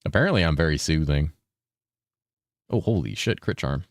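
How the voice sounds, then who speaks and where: A young man streaming a video game, chatting casually with his viewers in a relaxed, rambling way, with a deep voice he holds slightly higher than its natural pitch. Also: moderate pace, no room sound. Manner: laid-back, rambling, swears a lot, reads chat aloud. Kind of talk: casual live-stream chat while gaming.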